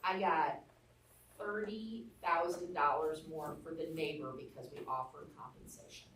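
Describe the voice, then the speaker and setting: A woman's voice: low voice, expressive, unhurried, fairly bright tone, slight background noise. A woman with an Arkansas accent teaching a real estate class, telling candid stories in a blunt, down-to-earth way. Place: training room. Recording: echoey room, mic far off.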